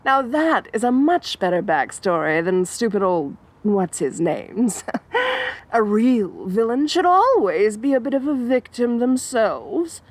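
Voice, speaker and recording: A woman's voice: fairly high pitch, very animated, takes it slowly, full character voice. A woman voicing an obsessive, theatrical female supervillain in an audio roleplay, dramatic and giggly, swinging between coy and menacing. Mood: mock tragic. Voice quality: dramatic, giggly, sing-song.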